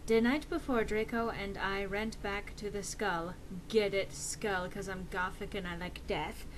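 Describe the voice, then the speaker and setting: Woman reading a story dramatically, noisy recording. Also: medium pitch. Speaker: reader of fan fiction.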